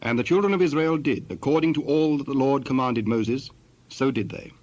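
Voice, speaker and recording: A man narrating scripture from a script in a formal, measured manner. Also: medium pitch, a little mellow. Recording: dull sound, faint background noise.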